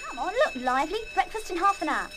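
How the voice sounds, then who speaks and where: An actress playing a bossy, stern schoolgirl in charge of a dormitory in a children's radio play, brisk, scolding and sarcastic. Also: very high-pitched, very animated, background noise. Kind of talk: full-cast children's radio play acting.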